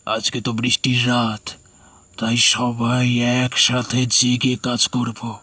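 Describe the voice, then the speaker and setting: A man voices a gaunt, skeletal villager from a ghostly horror cartoon. He speaks slowly and ominously in a husky, mid-pitched voice, slyly coaxing the listener. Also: completely flat delivery, bright, forceful tone, full character voice. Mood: ominous, evasive. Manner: slow, ominous, drawn-out delivery.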